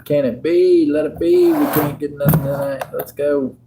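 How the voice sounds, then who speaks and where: A man's voice: fairly high pitch, steady tone, moderate pace, heavy background noise. A man, chatting and joking with viewers on a casual livestream. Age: 50s. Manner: laid-back, joking, chats with viewers. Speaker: livestream host. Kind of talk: casual livestream chat while sorting coins.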